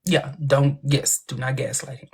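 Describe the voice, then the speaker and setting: Livestream host, female, 30s. Deep-pitched, rough-edged voice; colloquial, playful, informal chat. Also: little pitch movement, normal speed, darkish tone. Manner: colloquial, playful, affectionate slang. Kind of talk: casual livestream chat with viewers and a guest.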